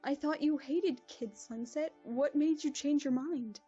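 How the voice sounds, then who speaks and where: A woman plays a kindly princess in a cartoon drama, with a high, mellow voice. She speaks in a measured, formal manner, and the sound is dull and lo-fi. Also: faint music behind. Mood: calm. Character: calm royal princess, kindly ruler.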